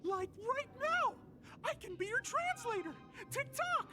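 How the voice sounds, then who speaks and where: Talking clock mascot for a game: tiny piercing voice, cheerful, showy, wildly animated, over loud music. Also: female voice, extremely high pitch, unhurried, heavily acted. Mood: gleeful, showy. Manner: bombastic, theatrical announcer-like delivery. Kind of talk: animated video game character acting.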